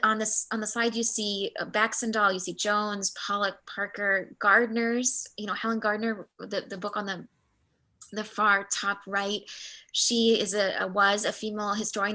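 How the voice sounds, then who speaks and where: A woman's voice: medium pitch, bright, forceful tone. A middle-aged woman whose first language is Spanish gives a chatty workshop talk as art history faculty, with frequent restarts. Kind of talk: workshop presentation.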